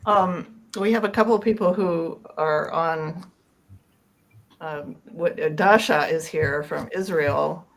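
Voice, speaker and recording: Woman hosting a video call, lowish voice w lots of creak, casual and rambly, kinda folksy. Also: slow. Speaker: host of online book discussion.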